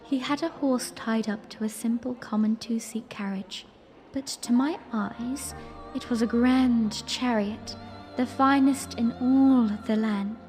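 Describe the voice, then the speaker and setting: A woman acts a young princess narrating a romantic fantasy audio drama. She is gently regal and playful, rather high in pitch and slow, over a faint music bed. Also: steady tone. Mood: tender, playful. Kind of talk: first-person storytelling and fan audio drama acting.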